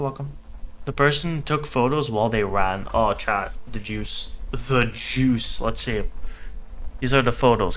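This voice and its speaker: A man with a mid-pitched voice streams a casual reaction, with noticeable noise behind him. He reads captions out and riffs on them for his chat in an irreverent, sweary, joking manner. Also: a bit bright. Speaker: streamer reacting to horror videos. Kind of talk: casual livestream reaction, reading on-screen captions aloud.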